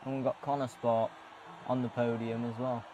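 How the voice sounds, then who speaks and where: A man's voice: mid-range voice, little pitch movement, moderate pace. A man chatting casually while playing a racing game. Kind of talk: casual gameplay chat. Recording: noisy, humming background.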